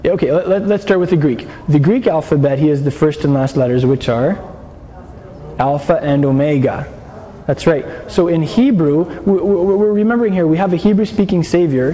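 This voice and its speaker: Male teacher, mid-high but dark-toned voice, lecturing and quizzing the class, reverberant hall with faint noise. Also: normal speed. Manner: explanatory, asks the class questions, frequent restarts. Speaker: Bible teacher.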